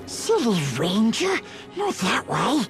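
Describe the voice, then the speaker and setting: An actor voicing a wretched, sly small creature in a game, with a croaking, singsong, erratic delivery. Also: mid-range voice, wildly swooping pitch, takes it slowly. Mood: sly, coaxing. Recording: loud music behind.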